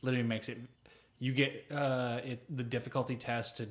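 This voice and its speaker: A man with a mid-pitched voice chats casually as a podcast co-host. He tells tabletop gaming stories in an animated, informal way, swearing freely and readily amused by his own tales. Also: brisk. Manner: animated storytelling, breaking into laughter, swearing. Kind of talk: casual chat about tabletop roleplaying.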